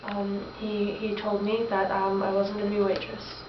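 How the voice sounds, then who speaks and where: A woman acting a young, haunted trafficking victim, speaking haltingly as in an interview for a student dramatization. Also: mid-range voice, monotone, natural voice. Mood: subdued, halting. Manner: halting, hesitant. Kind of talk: student-acted mock interview testimony. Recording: echoey, distant, noisy lo-fi room recording.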